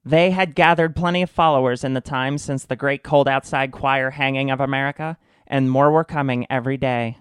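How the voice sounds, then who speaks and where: A man's voice: mid-range voice, steady tone, a little fast, few pauses, clear, resonant tone, no echo, clean studio sound. A man narrating a radio drama from a script as the storyteller.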